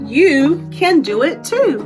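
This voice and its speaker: A woman's voice: high voice, big pitch swings, slow pace, over music. A woman children's librarian presenting and reading aloud at storytime, speaking directly and simply to young children with encouraging invitations.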